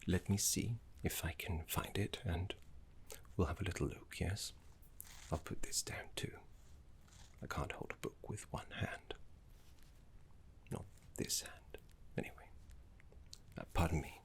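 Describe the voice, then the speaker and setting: A man voicing a gentle, courteous, scholarly older necromancer teacher in a soft-spoken, encouraging ASMR roleplay, with a breathy whisper. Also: a little slow, long pauses. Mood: politely fussy. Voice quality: breathy, creaky low whisper. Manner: soft-spoken, genteel, encouraging, slightly fussy.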